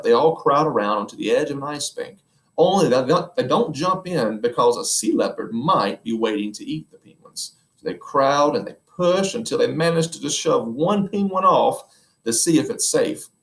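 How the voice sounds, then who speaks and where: Eager, dreamy storybook husband, read by a man, animated, echoey room. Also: mid-range voice, normal speed, pitched above the performer's own voice. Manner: eager and wondering. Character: dreamy enthusiastic middle-aged husband. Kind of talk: reading aloud a children's book.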